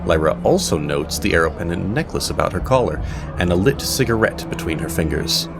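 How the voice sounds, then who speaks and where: A man narrating an audio drama as storyteller, with measured, theatrical pauses. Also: deep voice, sing-song, vocal fry, over loud music.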